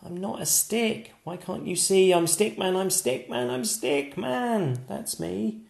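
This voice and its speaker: Guy doing a tiny stick man from a storybook, high voice, keeps protesting in a sing-song, swoopy pitch. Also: expressive, slow, vocal fry, darkish tone, echoey room, full character voice. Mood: indignant. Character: small brave male stick figure, insistent.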